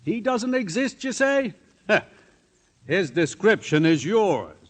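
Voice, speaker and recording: An actor playing an anxious, earnest town official in a 1950s radio drama, speaking deliberately and nervously. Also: male voice, sits high, sing-song, exaggerated voice. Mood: grave, accusing. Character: anxious, earnest male town official, protesting his honesty.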